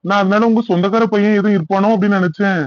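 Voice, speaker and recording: High-pitched, earnest cartoon pastor played by a man. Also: steady tone, rapid-fire, dry recording, muffled recording, close to an everyday voice. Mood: gentle, persuasive. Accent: southern Tamil Nadu. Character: kindly, earnest male village church pastor.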